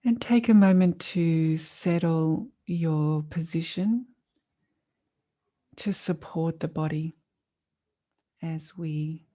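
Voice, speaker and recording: Female meditation teacher, soft mellow tone, unhurried and calm, long pauses between phrases. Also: low voice. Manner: calm, soothing, measured delivery with long pauses. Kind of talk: guided meditation.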